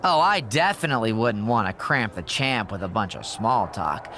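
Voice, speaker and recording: A man's voice: fairly low pitch, lively intonation, moderate pace, bright, forceful tone, slight background noise. A man playing a smug, mocking corporate schemer in a wrestling video game cutscene. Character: smug, scheming male wrestling manager's henchman.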